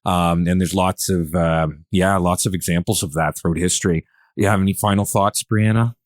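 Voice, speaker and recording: A man's voice: low-pitched, fairly even intonation, normal speed, no echo, studio quality. A man hosting a podcast in a casual, conversational way, agreeing often and feeling his way through his phrasing. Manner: agreeable, hesitant phrasing with frequent interjections. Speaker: podcast host.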